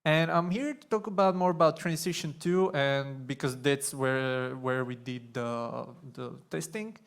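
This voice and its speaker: A young man from Slovakia giving a conference talk on stage, nervous and halting, with many fillers and restarts. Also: medium pitch, smooth. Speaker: conference speaker.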